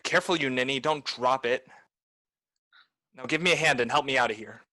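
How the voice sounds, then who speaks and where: A man's voice: medium pitch, a little fast, pushed and bright, close to an everyday voice. A man voicing a greedy, scheming butler who bullies and mocks, in 1930s-style radio drama acting. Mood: scornful. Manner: bullying, mocking laughter. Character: greedy scheming male butler, harsh and scornful.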